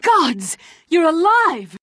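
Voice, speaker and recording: A woman's voice: very high-pitched, big pitch swings, drawn-out words, bright, forceful tone, dry recording, studio quality, exaggerated voice. A woman voicing a theatrical fantasy schemer in a video game, with a dramatic delivery. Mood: overjoyed. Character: theatrical, coquettish female fantasy schemer and thief.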